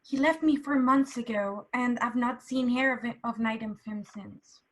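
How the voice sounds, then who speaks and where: A woman's voice: on the high side, measured pace, natural voice, low-quality recording. A woman playing a humble, anxious village seamstress, deferential and pleading, in a radio play. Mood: worried. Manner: pleading, plain-spoken, deferential.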